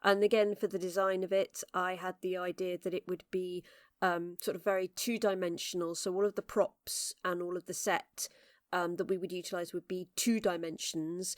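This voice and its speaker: A woman's voice: lowish voice, flat delivery, moderate pace, no echo. English woman, podcast chat, rambling storyteller, dry studio sound. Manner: chatty, storytelling, many fillers and restarts. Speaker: director and regular of the show.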